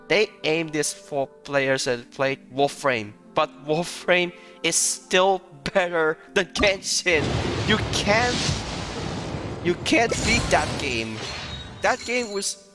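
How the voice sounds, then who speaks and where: A man giving casual live gaming commentary in a halting, repetitive way, often breaking into chuckles. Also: high-pitched, lively intonation. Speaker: game streamer. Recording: loud music under the voice.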